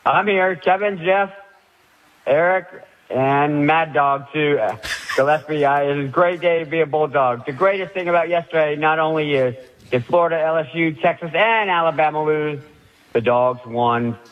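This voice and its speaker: A man calling in to a sports radio show with a head cold, an excited fan talking haltingly with many fillers. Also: a little high. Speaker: caller. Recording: dull phone-in line.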